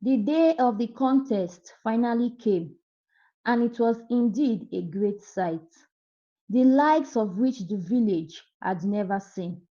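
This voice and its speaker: A woman's voice: on the high side, lively intonation, slow, mellow, dull audio. A woman narrating a scripted folktale as a storyteller.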